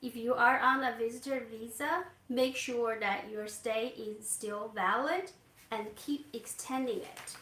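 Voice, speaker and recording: A soft-spoken woman who works as an immigration consultant, explaining steps gently with hesitant fillers. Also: on the high side, roomy sound, slight background noise, low-quality recording. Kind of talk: explaining to a live audience. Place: Canada.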